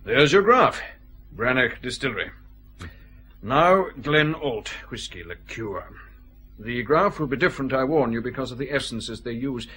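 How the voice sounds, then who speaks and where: An exacting customs scientist in a radio detective drama. He explains in a precise, pedantic manner, his pitch moving in lively swings. He sounds fussy and measured. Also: breathy edge, heavily acted. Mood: pointed.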